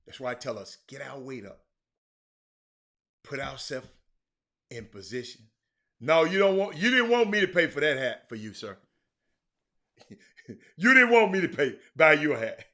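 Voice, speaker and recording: A man's voice: medium pitch, very animated, normal speed, pauses often, pushed and bright. An American man hosting a casual sports livestream, chatting to viewers in a folksy way and repeating phrases for emphasis. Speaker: sports talk show host.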